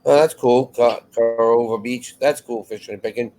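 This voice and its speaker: A man's voice: mid-range voice, steady tone, moderate pace, darkish tone, soft background music, rough recording. A man chatting casually as co-host of a live show, rambling and restarting his phrases as he goes. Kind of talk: casual chat.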